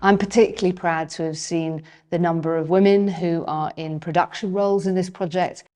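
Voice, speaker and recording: A woman's voice: lowish voice, steady tone, fairly quick, a little mellow, slight background noise. A woman talking in a documentary interview about work she helps lead. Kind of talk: interview talk for a making-of documentary.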